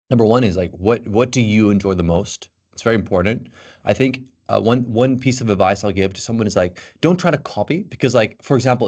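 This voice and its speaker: Eager American-accented man, low voice. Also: darkish tone. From Belgium. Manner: loose and eager, heavy on fillers like "like". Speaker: guest, tech content creator. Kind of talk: casual podcast chat.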